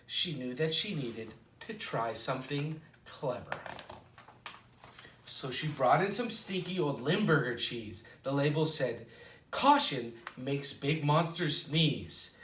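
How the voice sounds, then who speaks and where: A man hosting a children's storytime, reading a picture book aloud in an expressive, playful way with drawn-out dramatic words. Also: mid-range voice, slight background noise. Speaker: children's storytime host at a library. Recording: roomy echo, distant microphone.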